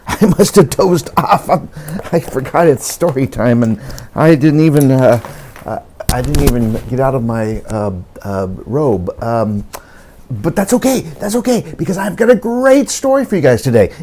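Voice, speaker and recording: A man's voice: fairly high pitch, sing-song, moderate pace, a little mellow, slight background noise. A man hosting a children's story time, reading aloud expressively and speaking directly to young listeners with exclamations. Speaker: children's storytime host and reader.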